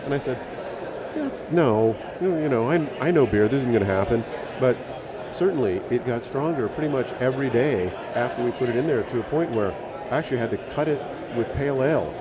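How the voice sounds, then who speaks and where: A man's voice: medium pitch, expressive, brisk, a loud crowd. A man with a Hawaiian accent, a brewer and author telling stories as a guest in a casual interview.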